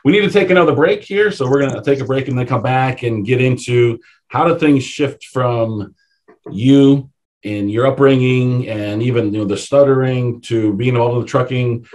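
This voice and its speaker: A man's voice: medium pitch, darkish tone. A man hosting a radio interview, asking questions and affirming his guest. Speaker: radio show host.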